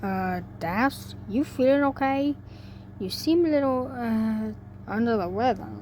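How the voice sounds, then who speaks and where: A woman's voice: a little high, unhurried, natural voice. A woman voicing a brash, exasperated but caring cowgirl pony in a story reading, with a folksy, scolding manner. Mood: concerned. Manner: folksy, scolding, calling out loudly. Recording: noisy amateur home recording.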